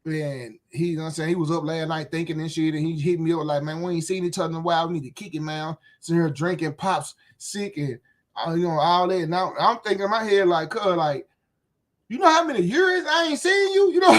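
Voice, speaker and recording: A man's voice: sits high, fairly quick, fairly bright tone. A man in his late thirties with a Memphis accent, ranting bluntly and profanely in a casual, repetitive way outdoors. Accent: Southern US, Memphis. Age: 30s. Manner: blunt, profane, repetitive, frequent "you know what I'm saying". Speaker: YouTube commentator. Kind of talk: casual rant. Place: outdoors, windy.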